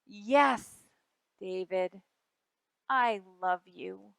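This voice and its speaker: A woman voicing an exasperated mother of a naughty little boy in a picture book, with emphatic, drawn-out words. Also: medium pitch, gravelly, a bit bright, smooth, no echo, pitched below the performer's own voice, full character voice. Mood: tender.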